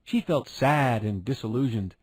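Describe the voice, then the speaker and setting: A man's voice: mid-range voice, normal speed, a little mellow, no room sound. A man narrating a fairy tale as a scripted storyteller.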